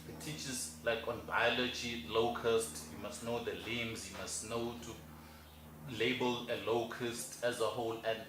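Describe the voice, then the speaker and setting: Young South African man, earnest halting co-host, echoey room, light noise. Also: medium pitch, flat delivery. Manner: earnest, halting, with many restarts. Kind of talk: casual chat.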